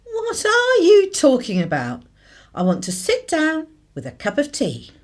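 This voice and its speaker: A woman's voice: sits high, wildly swooping pitch, a little slow, heavily acted. An actress playing a tired, indignant British wife and mother in a radio drama. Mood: weary, irritated. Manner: indignant, emphatic. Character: tired, exasperated British wife and mother, stands her ground.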